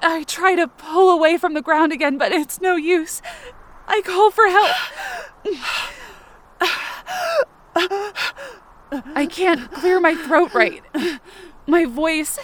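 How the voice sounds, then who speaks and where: A woman acting an anxious, self-blaming, injured character who narrates her ordeal in short, halting phrases for an audio drama. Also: very high voice. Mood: panicked, tearful. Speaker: fiction podcast performer.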